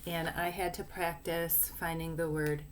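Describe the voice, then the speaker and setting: Slow-talking woman teaching young children. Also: deep voice, flat delivery, slight background noise. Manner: simple step-by-step explaining, sounding out phrases deliberately. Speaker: early primary school teacher. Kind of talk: instructional read-aloud lesson for young children.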